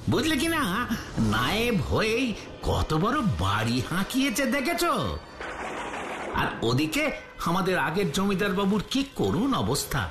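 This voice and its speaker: High-pitched aggrieved village peasant. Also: male voice. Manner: emphatic complaining. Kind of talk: Bengali cartoon dubbing. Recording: echoey, music behind the voice.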